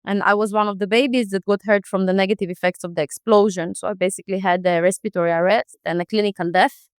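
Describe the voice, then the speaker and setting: A woman's voice: mid-range voice, fairly even intonation, fast talker, clear, resonant tone, no echo, studio quality. A woman in her thirties from Israel, talking casually as an interview guest with many fillers and restarts. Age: 30s. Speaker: guest, company founder.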